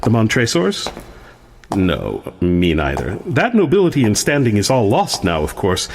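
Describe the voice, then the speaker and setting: A genteel doctor presiding over an asylum in a radio drama. He is polite and theatrical, with an ominous, deliberate manner and lively swings in pitch. Music plays behind him. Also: creaky, a little hiss or hum, full character voice.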